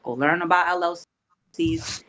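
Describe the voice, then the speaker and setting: A man's voice: a little high, a little slow, pushed and bright. A man, a rapper guest on a podcast, talking casually in loose street slang.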